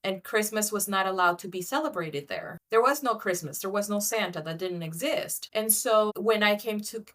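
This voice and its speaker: A woman from Cuba, co-hosting a casual podcast conversation, candid and animated as she tells stories with little asides. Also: mid-range voice, moderate pace. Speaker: podcast co-host.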